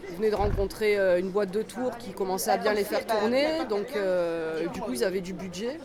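A woman in her forties from southeastern France tells her story in an easygoing, meandering way, often stopping to restart a thought. She is recorded outdoors on a busy street, with chatter from a crowd and passing traffic clearly audible behind her. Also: mid-range voice, fairly quick. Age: 40s. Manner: relaxed, rambling, many fillers and restarts. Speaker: dancer and choreographer, guest. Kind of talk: casual interview chat.

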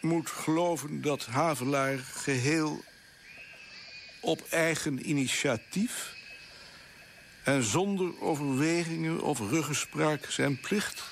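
A man playing a stern, disapproving senior colonial official in a radio play, with a measured, formal, pressing manner. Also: fairly high pitch, creaky, a little hiss or hum. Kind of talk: Dutch radio play acting.

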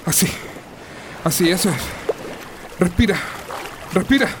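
A man's voice: high-pitched, expressive, measured pace, noisy background. A man playing a brave, devoted young rescuer in a radio drama. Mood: reassuring.

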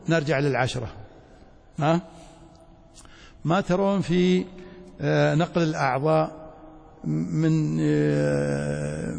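A man's voice: fairly high pitch, gravelly, darkish tone. A man with a Saudi accent teaching a religious lecture didactically, often checking that his students follow. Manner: didactic, checks understanding with short questions. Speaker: Islamic studies teacher, sheikh. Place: large reverberant hall, mosque. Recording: echoey hall with long reverberation.

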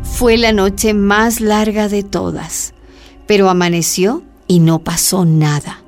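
Woman narrating over soft music. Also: mid-range voice, fairly even intonation, slow pace. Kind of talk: dramatic scripted narration.

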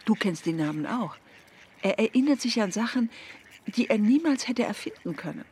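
An actress playing an earnest, intense woman with spiritual convictions in a radio drama, insistent and at times hushed. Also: mid-range voice, animated, moderate pace, slight background noise, close to an everyday voice. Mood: earnest, confiding. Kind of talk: naturalistic radio drama dialogue.

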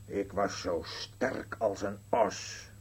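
An actor playing a grumpy, bickering old husband in a Dutch radio play, curt and dry, with a husky voice. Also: male voice, on the low side, takes it slowly, full character voice. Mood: musing, dry. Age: older.

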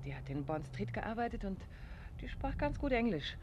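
Woman acting detective's wife in old 50s radio play, chatty n a bit playful, mid pitch, noisy recording. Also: big pitch swings, moderate pace, lightly acted. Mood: chatty, curious. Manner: chatty, conversational, slightly playful. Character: bright, observant wife helping her detective husband.

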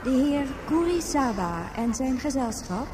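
A formal female spaceport announcer in a radio play. Her high voice delivers each call very slowly and politely, echoing through clear background noise. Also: lively intonation. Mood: formal, neutral. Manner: slow, formal, measured announcing. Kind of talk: public address announcement in radio drama. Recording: echoing, noisy public address sound.

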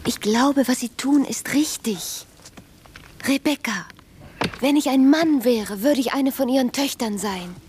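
A woman's voice: sits high, a little slow, a little mellow. A woman playing an earnest, romantic young noblewoman in a German radio play, fervent and breathless. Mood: hushed, adoring. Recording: clear background noise.

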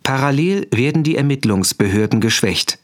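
A man's voice: mid-range voice, expressive, normal speed, over loud music. A man announcing scripted scene headings in a radio drama.

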